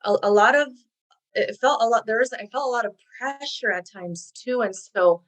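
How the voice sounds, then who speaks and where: A woman's voice: fairly high pitch, normal speed. Earnest woman, Spanish native speaker. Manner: earnest, reflective. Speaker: career coach guest. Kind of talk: podcast interview.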